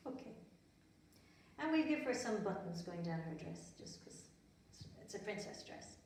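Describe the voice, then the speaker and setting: A woman's voice: medium pitch, sing-song, moderate pace, darkish tone, slight background noise. An Irish woman telling a story to small children in simple, repetitive phrases, chatting and asking them questions as she goes. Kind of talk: storytelling for small children, with asides while drawing. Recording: very echoey room, distant microphone.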